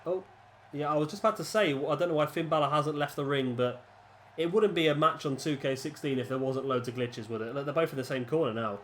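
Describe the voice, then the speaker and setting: A man's voice: on the high side, fast talker, slight background noise. A man, congested from a cold, giving rambling, chatty commentary over a video game. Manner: rambling, chatty, many restarts. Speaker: gaming commentator. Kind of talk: casual gameplay commentary.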